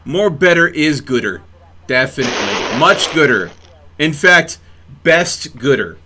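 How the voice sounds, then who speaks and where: A man's voice: on the high side, sing-song, measured pace, background noise. A man hosting a game stream in casual banter, rambling in a mock-serious way and stammering over repeated words. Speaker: live streamer hosting a gaming session.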